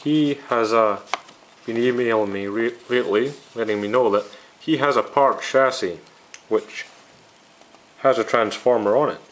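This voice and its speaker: A man's voice: mid-range voice, unhurried, pauses often, slight background noise, rough recording. A man narrating an informal, unscripted hobby video as its host, in a downbeat, hesitant, explanatory way.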